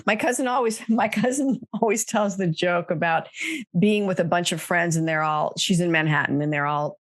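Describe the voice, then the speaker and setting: A woman's voice: lowish voice, normal speed, no echo, studio quality. An older woman hosting a casual, chatty conversational interview in an easygoing storytelling manner. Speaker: podcast host.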